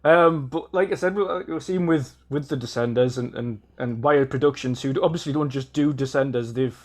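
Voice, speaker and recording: A man's voice: medium pitch, normal speed. A man with a Geordie accent, co-hosting a casual gaming podcast and arguing his point earnestly, with frequent ums and restarts. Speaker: podcast co-host.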